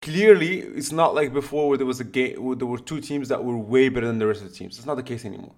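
A man with a mid-range voice co-hosts a casual football podcast. He talks bluntly and offers strong opinions, swearing freely in relaxed, conversational phrasing. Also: medium pitch, fast talker. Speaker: podcast co-host.

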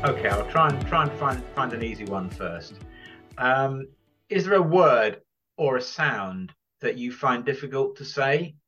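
Halting male podcast host asking questions. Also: medium pitch, lively intonation, bright, forceful tone, faint music behind. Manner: halting, with repeated words and phrases. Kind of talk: casual interview.

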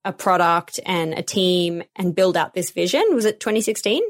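A woman's voice: lowish voice, normal speed, no room sound, clean studio sound. A woman hosting an interview, speaking in a conversational, affirming way. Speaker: podcast host.

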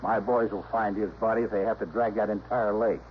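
In a muffled old radio play, an actor plays a dogged local sergeant. His voice is on the low side, gruff and blunt, over faint noise. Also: steady tone, a little fast, natural voice. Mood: scornful, stern. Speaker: police sergeant. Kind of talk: 1960s radio drama acting.

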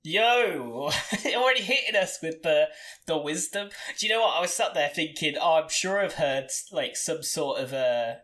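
A man's voice: on the high side, expressive, moderate pace, a bit bright. Man in his twenties, chatty. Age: 20s. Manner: chatty, laughs often, many restarts. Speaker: podcast host. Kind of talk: casual podcast chat. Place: bedroom.

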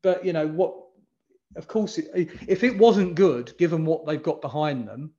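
Guy on a podcast, kinda high voice, blunt and emphatic, muffled lo-fi sound. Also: lively intonation, moderate pace, warm tone. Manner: emphatic, blunt, with frequent restarts. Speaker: podcast guest, fan engagement consultant. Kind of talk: casual podcast conversation.

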